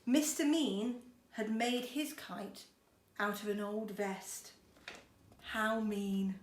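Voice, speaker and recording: A woman's voice: medium pitch, slow. Woman teacher reading aloud, echoey room. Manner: expressive, encouraging, speaking to children. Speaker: schoolteacher reading storytime. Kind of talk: children's storybook read-aloud with chatty asides. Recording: roomy echo, faint background noise.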